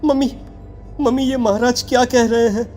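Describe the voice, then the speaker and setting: A woman's voice: fairly high pitch, fairly even intonation, moderate pace, a little mellow, soft background music, natural voice. A woman playing a distressed, earnest teenage schoolboy disappointed in his mother, in a dramatic audio story. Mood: shocked, questioning.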